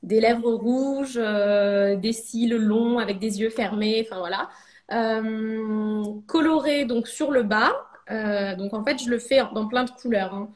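A woman's voice: on the high side, takes it slowly. A French woman in her thirties, a ceramic artist, talking casually in a podcast interview, hesitant with many fillers and restarts. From France.

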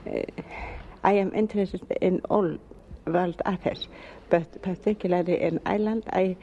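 A woman with an Icelandic accent answers an interviewer, pausing to search for words. Her voice is a little low and mellow. The recording sounds dull, with a faint ambience behind it. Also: fairly even intonation, gravelly, a little hiss or hum. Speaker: conference attendee.

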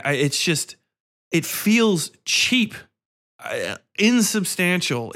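A man's voice: a little high, fairly bright tone. A man hosting a casual podcast, ranting bluntly and profanely in an exasperated, complaining way. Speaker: podcast host.

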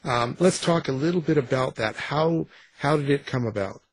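Guy hosting a casual interview, kinda jokey and rambly, raspy voice with lots of fry. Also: medium pitch, moderate pace, dry recording. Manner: joking, rambling. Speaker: show host interviewing an author.

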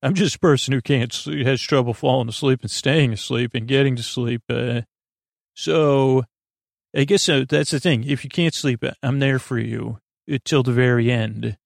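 A man with a creaky voice hosting a bedtime podcast, talking in a soothing, meandering, halting monologue that often interrupts itself. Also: medium pitch, fairly even intonation, no room sound, studio quality. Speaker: host of a bedtime sleep podcast.

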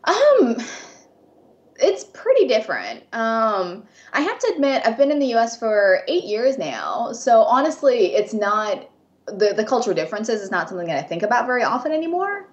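A woman's voice: a little high, sing-song, normal speed, lo-fi sound. A woman in her twenties with an American accent, who grew up in Japan, chatting as a guest artist in a friendly way, laughing easily and often saying um. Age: 20s. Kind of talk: casual interview chat.

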